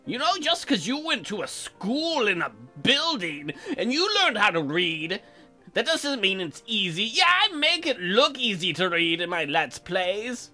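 A man's voice: high voice, big pitch swings, a little slow, sharp tone, faint music behind. A man giving comedic let's play commentary, reading game text aloud in a goofy, crude, improvised way. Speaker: gaming video host.

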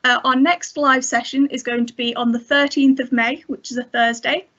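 Young woman, host, higher voice, headset mic, muffled call audio. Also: steady tone, normal speed, a bit bright. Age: young adult. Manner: friendly, reads closing announcements. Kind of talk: hosting online event. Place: indoors in a bedroom. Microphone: headset on video call. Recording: dull lo-fi video call.

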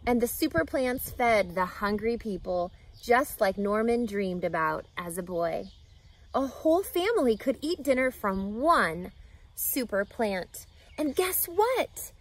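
A woman reads a children's story aloud, expressive and emphatic, addressing young listeners. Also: on the high side, a little slow. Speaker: reading teacher, storyteller. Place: outdoors in a grassy lakeside yard.

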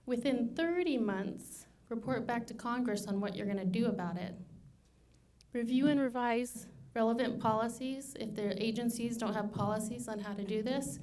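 A woman in her thirties with a soft voice, giving a persuasive conference presentation at a podium microphone in a university room, asking many rhetorical questions. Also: on the high side, moderate pace. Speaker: conference speaker.

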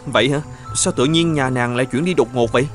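A man voicing an earnest, devoted young bridegroom in an animated folktale, questioning and polite. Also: medium pitch, fairly quick, close to an everyday voice. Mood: puzzled. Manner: earnest, questioning, old-fashioned polite speech. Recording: music under the voice.

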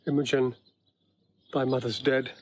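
A man's voice: medium pitch, level tone, unhurried, close to an everyday voice. An actor playing an emotional king and father, theatrical and stately in a Shakespearean audio drama. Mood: tender, solemn.

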